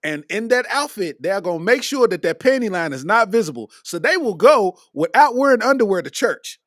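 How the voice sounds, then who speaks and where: High-voiced laid-back man. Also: big pitch swings, a little fast, fairly bright tone, no room sound, clean studio sound. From Kentucky. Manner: laid-back, repeats "you know what I'm saying". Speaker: podcast host and comedian. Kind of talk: casual comedic podcast chat.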